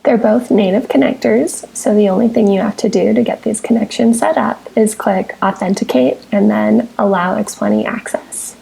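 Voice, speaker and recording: A woman presenting a software tutorial as scripted, step-by-step screencast narration, with measured, instructional phrasing. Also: medium pitch, vocal fry, husky, poor audio. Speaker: software tutorial presenter, technical support engineer.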